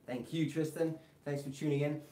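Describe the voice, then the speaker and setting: A man with a mix of British and American accent, teaching guitar on a live stream and chatting with viewers in a relaxed, rambling way full of fillers. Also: on the high side, normal speed, heavy background noise. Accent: British English mixed with American. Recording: roomy echo, faint guitar behind.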